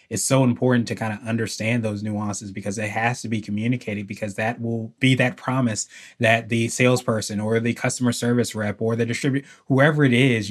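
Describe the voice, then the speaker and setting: A man hosting an interview who keeps affirming his guest and restating the guest's points at length. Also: fairly low pitch. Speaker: podcast host. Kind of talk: conversational interview.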